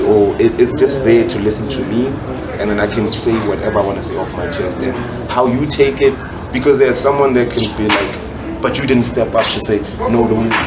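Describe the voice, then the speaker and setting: A South African man speaking casually and reflectively as a podcast guest, explaining himself at length and repeating words for emphasis. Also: lowish voice. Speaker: guest musician, rapper. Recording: echoey room, loud background noise.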